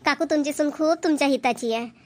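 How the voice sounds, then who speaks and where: Woman acting a high-voiced gossipy village grandmother. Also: brisk, close to an everyday voice. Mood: chatty, neighbourly. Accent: Varhadi Marathi. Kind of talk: amateur cartoon comedy voice acting.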